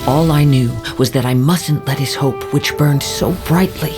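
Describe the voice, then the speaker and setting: An actor playing a calm, reflective young samurai in a game, measured and soft-spoken. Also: male voice, a little high, natural voice. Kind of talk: game voice acting. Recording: game audio over music.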